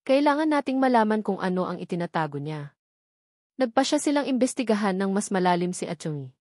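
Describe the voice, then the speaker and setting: A woman reads a scripted, dramatic story as its narrator. Her voice sits at an average pitch for a woman. The recording is very dry, with no room sound around the voice. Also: very animated, a little fast, a little mellow, no echo.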